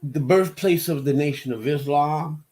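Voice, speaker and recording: A man in his fifties from Detroit talks through his own story as host of his show. His delivery is unhurried and mellow, and he often stops, restarts and repeats words before he finds his point. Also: on the high side. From Detroit, USA. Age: 50s. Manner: slow, halting, with many restarts and repeated words. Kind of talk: personal monologue.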